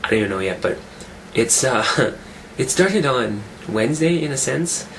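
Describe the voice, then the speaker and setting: A man talking casually in a vlog, rambling and restarting his sentences, his voice rough from a cold. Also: mid-range voice, lively intonation, moderate pace, a bit bright, a little hiss or hum, low-quality recording. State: getting over a cold, rough voice. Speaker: fitness vlogger. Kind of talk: casual weekly update vlog.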